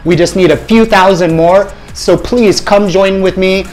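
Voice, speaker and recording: A man's voice: sits high, a little slow, faint music behind. A man in his forties, a fitness company head, giving an emphatic motivational talk to camera in a small gym studio, speaking into a lapel mic. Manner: energetic, emphatic, gestures widely with his hands.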